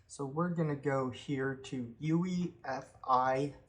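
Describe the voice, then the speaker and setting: A man hosting a tech tutorial, calmly explaining each step of a computer walkthrough with occasional ums. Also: mid-range voice. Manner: unhurried step-by-step explaining with fillers. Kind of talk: screen-recorded how-to walkthrough.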